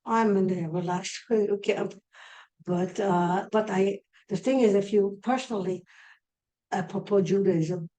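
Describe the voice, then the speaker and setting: Israeli woman lecturing, mellow voice. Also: medium pitch, fairly even intonation, measured pace. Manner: explaining step by step, with frequent restarts. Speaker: astrology lecturer.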